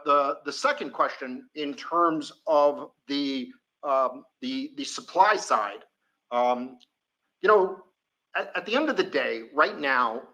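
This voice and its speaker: A man speaking as a company executive, answering off the cuff with halting, deliberate phrasing and many pauses. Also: on the high side, bright, forceful tone, poor audio. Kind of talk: spontaneous answers on an earnings call.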